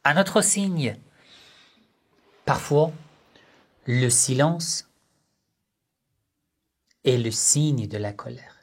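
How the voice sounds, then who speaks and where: A man giving a religious lesson on family life, speaking in short, measured phrases and asking rhetorical questions that he then answers himself. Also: mid-range voice, expressive. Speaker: religious teacher.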